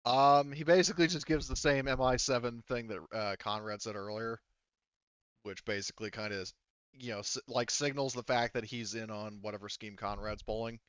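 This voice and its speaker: A man's voice: medium pitch, steady tone, moderate pace, a bit bright, smooth, no room sound, muffled recording. A man acting as game master of a tabletop sci-fi roleplaying game, improvising and thinking aloud in a casual way.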